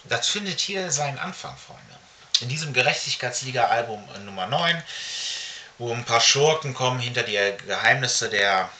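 Very bright-voiced middle-aged man, halting. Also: medium pitch, lively intonation. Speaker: comic collector and vlogger. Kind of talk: casual show-and-tell to camera. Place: small furnished room at home, indoors. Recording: faint background noise.

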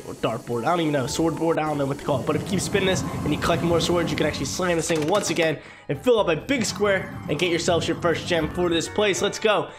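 A congested young man with a stuffy nose giving energetic, slangy let's-play commentary into a headset, with sudden squeaky exclamations. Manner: energetic, slangy, jumps to high-pitched exclamations. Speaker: gaming YouTuber. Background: game music.

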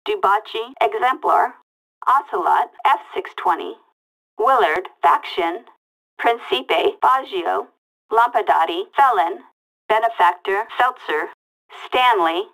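A woman's voice: medium pitch, expressive, takes it slowly, vocal fry, fairly bright tone, heavily acted, low-quality recording. An actress playing a female police dispatcher in a video game, reading out short callouts in a clipped, detached way through a police radio filter. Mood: flat, procedural. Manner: clipped, detached, word-by-word delivery. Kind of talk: reading out vehicle makes and models as scanner callouts.

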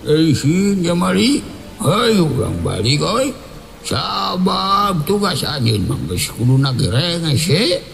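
A man's voice: fairly high pitch, sing-song, slow pace, gravelly, fairly bright tone, a little hiss or hum, pitched above the performer's own voice, heavily acted. A man with a Sundanese accent voicing departed comrades who call out coaxingly in an oral folktale. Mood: beckoning. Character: ghostly departed comrades calling a man home.

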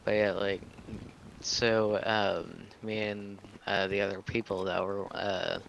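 A man's voice: lowish voice, level tone, slow, gravelly, fairly bright tone, highs cut off. A man speaking casually in roleplay over online game voice chat. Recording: game voice chat, noisy background.

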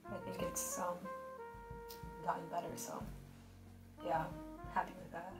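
A woman talks casually and bluntly over her drawing, her delivery flat and monotonous and full of fillers. Her voice sits a little low. It is recorded in a roomy space, with music playing underneath. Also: unhurried. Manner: flat, monotonous speech pattern; blunt and rambling, many fillers. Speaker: art vlogger.

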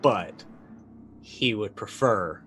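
Halting, casual man thinking aloud. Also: on the low side, lively intonation, fairly bright tone, soft background music. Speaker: tabletop game player. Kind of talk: casual improvised narration of his character's actions. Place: small indoor room, remote stream.

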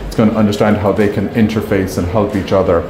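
A man's voice: fairly low pitch, fairly even intonation, quick pace, background noise. A man in his fifties being interviewed as a company executive, explaining things conversationally and often checking with "right?". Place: indoor meeting room at trade show. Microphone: clip-on lapel mic. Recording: roomy echo, music underneath.

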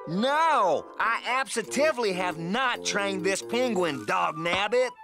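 A big cartoon bear, folksy and weary. He drawls slowly in a fairly high male voice that rises and dips a lot, over clear background music. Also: expressive, heavily acted. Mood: protesting.

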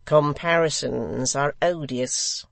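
Male narrator as sly blind villain, gravelly, mock-courteous, coolly menacing, theatrical, storybook character, close dry sound. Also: on the high side, takes it slowly, a bit bright, dry recording, heavily acted. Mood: insistent. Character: sly, pompous blind man, cool and menacing extortioner.

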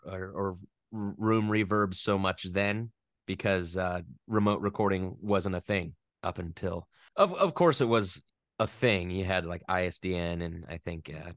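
A man's voice: fairly low pitch, a little slow, no echo, studio quality. A young adult man in a casual interview, rambling with many fillers and self-corrections. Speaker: voice actor guest.

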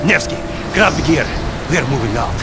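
Man acting a lively, loyal soldier. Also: a little high, a little fast, music in the background, lightly acted. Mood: brisk. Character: loyal, brave Russian soldier comrade. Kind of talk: dramatic video game acting.

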